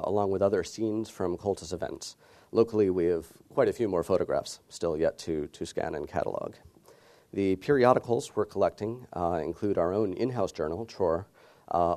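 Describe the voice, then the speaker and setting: A middle-aged American man giving a conference presentation, measured and steady, with frequent "uh" fillers. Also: low voice. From United States. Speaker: conference speaker.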